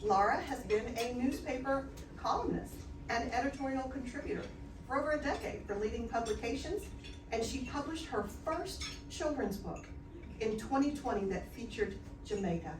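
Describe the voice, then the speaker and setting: A woman's voice: on the high side, animated, normal speed. A woman hosting an event, reading out a formal, admiring introduction of the speaker. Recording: echoey room from far off, clatter behind.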